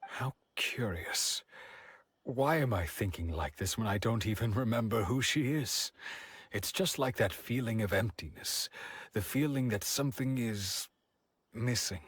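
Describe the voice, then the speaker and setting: A man's voice: fairly low pitch, breathy edge. An actor playing a wistful, resigned middle-aged village man in a video game, musing and patient. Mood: resigned, pensive. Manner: slow, musing, sighing delivery.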